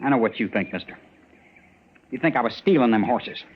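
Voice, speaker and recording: An actor playing a sullen, defiant drifter under suspicion in a radio western, with an American accent and a surly, defensive way of talking. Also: male voice, mid-range voice, lively intonation, brisk, slightly rough. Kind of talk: old time radio western acting. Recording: muffled, noisy old radio recording.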